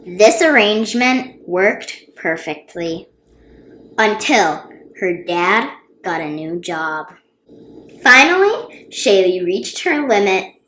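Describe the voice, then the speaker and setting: A woman reading a children's storybook aloud expressively, with dramatic, drawn-out emphasis on key words. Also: medium pitch, very animated, a bit bright, a little hiss or hum. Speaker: children's book reader and channel host. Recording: roomy, echoey sound.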